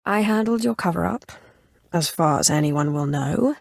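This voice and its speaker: An actress playing a haughty, cold, ambitious Sith Inquisitor in a video game, with a clipped, imperious and threatening way of talking. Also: female voice, fairly low pitch, animated, normal speed, vocal fry, a little mellow, no echo, clean studio sound. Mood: cool calculation. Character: haughty, menacing female Sith Inquisitor, ambitious former slave. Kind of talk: video game character dialogue.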